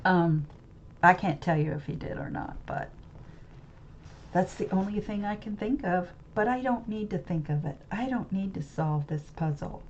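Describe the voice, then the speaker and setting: A woman's voice: on the low side, normal speed, darkish tone, a little hiss or hum. American woman host, rambling live-stream monologue, hunts for words, faint background ambience. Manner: rambling, searches for words, many restarts and fillers. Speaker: webcam channel host. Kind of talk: unscripted musing monologue about a book. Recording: slightly dull lo-fi audio with faint ambience.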